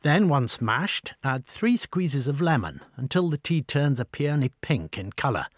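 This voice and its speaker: A man voicing a tall, proud older woman with an upper-class English accent, brisk and wry in an audiobook. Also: mid-range voice, big pitch swings, no room sound, studio quality. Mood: commanding. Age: over forty. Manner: brisk, commanding, wry and talkative. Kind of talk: audiobook dialogue.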